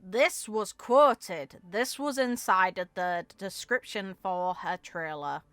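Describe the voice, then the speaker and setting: A woman's voice: mid-range voice, slow pace, fairly bright tone, clear, resonant tone, dry recording. A woman giving casual reaction commentary on a game, musing aloud with short emphatic asides. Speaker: content creator reacting to game voicelines.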